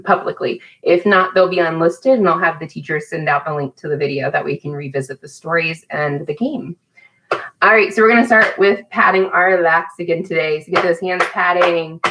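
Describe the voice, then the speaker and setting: A woman's voice: on the low side, poor audio. A woman in her thirties leading a live storytime for young children, encouraging and speaking to them directly as a teacher would. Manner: sing-song greetings to children by name. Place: small indoor room at home.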